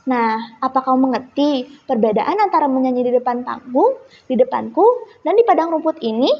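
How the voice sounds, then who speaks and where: A young female storyteller voicing a kind, wise, patient village woman with a gentle, reassuring manner. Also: high voice, lively intonation, moderate pace. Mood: encouraging. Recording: muffled echoey hall recording.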